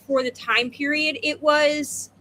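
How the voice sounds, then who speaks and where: A woman co-hosts a relaxed book chat, telling things conversationally with plenty of asides. Her voice is high and bright, and there is slight noise behind it. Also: slow. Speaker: book discussion co-host. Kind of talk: casual chat, personal anecdotes.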